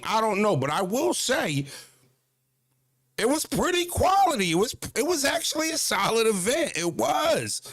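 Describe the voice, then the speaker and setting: A man's voice: high voice, fairly bright tone, no room sound, clean studio sound. A man in his thirties talking casually to camera about battle rap and self-care, loose and profane, full of "you know what I mean.". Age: 30s. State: just worked out at the gym. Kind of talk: casual vlog talk to camera.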